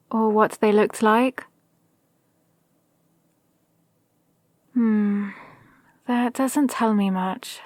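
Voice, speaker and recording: A woman playing a scheming, power-hungry villain mentor in an ASMR roleplay, gently menacing, with measured, formal delivery. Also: fairly high pitch, no room sound, studio quality. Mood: probing, composed. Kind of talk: scripted ASMR roleplay monologue.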